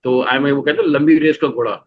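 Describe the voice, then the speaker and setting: A middle-aged man with a Pakistani accent, answering interview questions in a halting way, full of "you know" fillers and restarts. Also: medium pitch, narrow, tinny audio. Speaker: guest.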